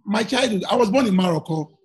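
Husky-voiced Nigerian man, earnest. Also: high-pitched, expressive, fast talker. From Lagos, Nigeria. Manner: earnest, repeats words while searching for them. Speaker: guest journalist. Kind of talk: conversational interview answers.

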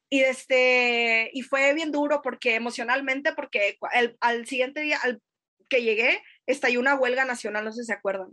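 High-voiced Sonoran Mexican woman. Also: fairly bright tone. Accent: northern Mexican (Sonoran). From Sonora, northern Mexico. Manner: hesitant, many fillers and restarts, frequent tag questions. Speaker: podcast guest. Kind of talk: casual conversational storytelling.

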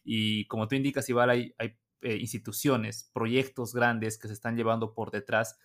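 A man's voice: medium pitch, flat delivery, moderate pace, fairly bright tone. A man hosting a podcast interview, asking questions with frequent hesitations and repeated words. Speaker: podcast host. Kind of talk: casual interview.

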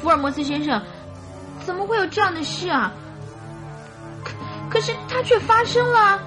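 A woman's voice: very high voice, expressive, moderate pace. A woman playing a naive, devoted young lady in distress in a radio drama, pleading and girlish. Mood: anxious, bewildered. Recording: radio drama with music behind.

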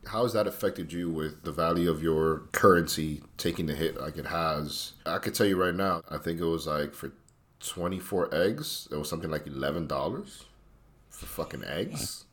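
A man's voice: extremely low pitch, lively intonation, normal speed, fairly bright tone. A man co-hosting a podcast, chatting loosely and playfully with plenty of swearing. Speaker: podcast co-host. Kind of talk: casual podcast chat.

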